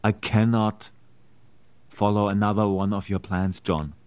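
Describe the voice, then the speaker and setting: Male actor as weary immigrant conspirator, period drama, deep-set voice, slow deliberate delivery, doubtful, earnest. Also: flat delivery, darkish tone, clear, resonant tone, close to an everyday voice. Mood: doubtful, frustrated. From Prussia (character). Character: wary, weary immigrant conspirator, doubtful but loyal, 1860s. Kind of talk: period stage drama acting.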